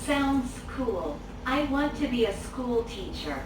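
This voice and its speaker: A woman playing a cheerful female student in a scripted English dialogue video, with even, textbook phrasing. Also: on the high side, slow pace. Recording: roomy, distant, noisy playback.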